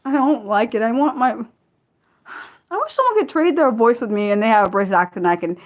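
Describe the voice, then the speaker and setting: An American woman hosting a casual livestream, rambling and laughing often, trailing off with self-deprecating asides and swinging from loud bursts to soft mumbles. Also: fairly high pitch, animated, brisk. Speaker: livestream host. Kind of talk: casual unscripted livestream chat, answering viewers.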